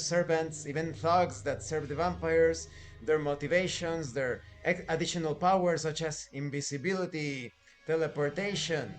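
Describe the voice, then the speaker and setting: A man giving an informal spoken review as a show host, explaining in a halting way with conversational asides. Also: fairly high pitch, a little hiss or hum. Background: background music.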